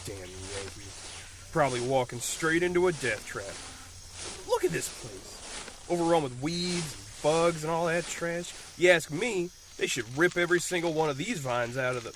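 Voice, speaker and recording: A hot-headed, defiant young-blooded hero of a superhero radio play, acted by a man. His voice is fairly high and forceful, full of mockery and grumbling, with some ambient noise behind it. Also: lively intonation, moderate pace. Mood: sarcastic grumbling. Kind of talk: superhero audio drama acting.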